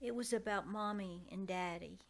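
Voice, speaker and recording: A woman playing a timid, polite, wistful little girl, halting and careful in old-time radio drama style. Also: medium pitch, close to an everyday voice. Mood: uneasy, questioning. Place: live on a small-town theater stage. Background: loud music.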